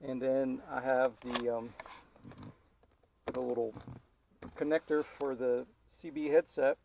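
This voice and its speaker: A man giving a casual DIY tutorial as he works, thinking aloud in an informal, halting way. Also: mid-range voice, little pitch movement, gravelly, a little mellow, slight background noise, rough recording. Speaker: DIY car vlogger. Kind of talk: casual hands-on installation tutorial, talking while working.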